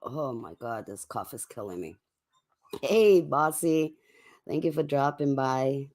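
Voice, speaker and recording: A livestream host chatting casually and in a laid-back way to viewers late at night, with a voice going hoarse from a cough. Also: mid-range voice, expressive, slow pace, darkish tone. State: sick with a cough, voice getting hoarse.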